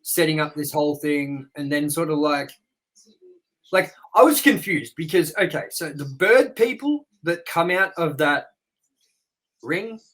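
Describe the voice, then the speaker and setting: Male co-host, higher register, casual chatty reviewer, asks lots of questions. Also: lively intonation, measured pace, fairly bright tone, poor audio. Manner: reads figures, then prompts partner with questions.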